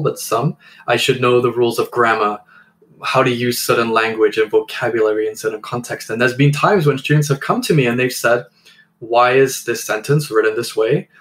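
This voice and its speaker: A man in his thirties talks in a measured, reflective way, at a middle pitch. He sounds encouraging as he co-hosts a podcast for fellow English teachers. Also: a bit bright. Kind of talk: conversational podcast discussion.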